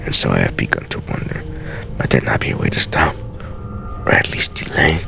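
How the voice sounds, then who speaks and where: Aging video game master assassin, acted by a man: rock-bottom pitch, heavy gravelly fry, solemn, weary, measured journal delivery. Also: big pitch swings, pushed and bright, faint music behind, full character voice. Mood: weary, brooding. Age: older. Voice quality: very deep, gravelly. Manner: grave, measured, theatrical dramatic reading.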